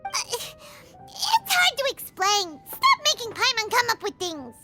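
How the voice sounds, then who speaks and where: A tiny fairy sidekick chatters away in a squeaky, very high voice that leaps all over the place. She is bubbly, fussy and excitable, and talks about herself in the third person. Game music plays clearly behind her. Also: measured pace, full character voice. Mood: chatty. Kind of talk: game cutscene voice acting. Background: background game music.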